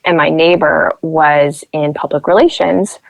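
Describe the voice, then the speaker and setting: Low-voiced chatty woman guest. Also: steady tone, measured pace, creaky, dry recording, low-quality recording. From Nebraska. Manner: chatty storyteller who acts out quoted voices. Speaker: podcast guest. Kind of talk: casual interview chat.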